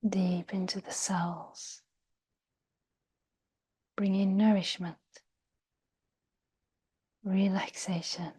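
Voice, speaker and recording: A woman guiding a meditation in a soft, breathy, near-whispered voice, gentle and soothing, with long pauses. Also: mid-range voice, flat delivery. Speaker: meditation guide. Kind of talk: guided meditation.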